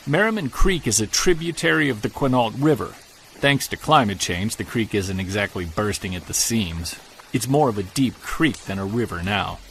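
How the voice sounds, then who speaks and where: An actor playing a wistful separated man who hunts Bigfoot, narrating his audio-drama field journal in a creaky, conversational, earnest voice. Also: medium pitch, fairly bright tone, a little hiss or hum, low-quality recording.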